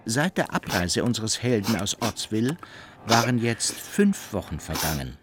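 A man narrating a satirical radio play as a wry, measured storyteller who addresses his listeners. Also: fairly low pitch, very animated, noisy background. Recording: music behind the voice.

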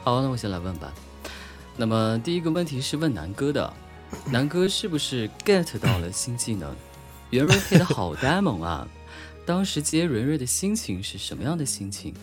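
A man hosting a casual radio drama chat, teasing and excitable, often stumbling over and repeating his words. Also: mid-range voice, normal speed. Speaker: host of a radio drama fan talk. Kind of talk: casual chat with scripted questions. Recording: music behind the voice. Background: music.

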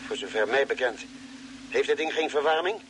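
An actor playing a patient, commanding male spaceship doctor in a Dutch radio drama, speaking deliberately. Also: medium pitch, fairly bright tone, lightly acted. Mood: calm, patient. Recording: noisy old radio drama recording.

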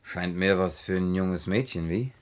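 An actor playing a scruffy, bashful middle-aged ex-navy drifter in a radio play, hesitant and folksy. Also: male voice, low voice, measured pace, clean tone. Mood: wistful, hesitant.

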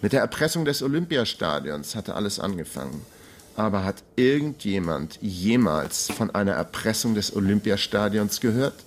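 A man's voice: lowish voice, expressive, normal speed, a little hiss or hum, close to an everyday voice. An actor playing a wry, broke ex-detective in his forties, narrating a radio crime drama in a laconic, self-mocking way. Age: 40s.

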